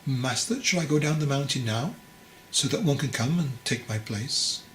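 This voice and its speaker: A man's voice: medium pitch, animated, normal speed, roomy sound, a little hiss or hum, lightly acted, low-quality recording. A man voicing a fragile, anxious young would-be monk in a storybook, hesitant, earnest and deferential. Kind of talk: storybook character dialogue.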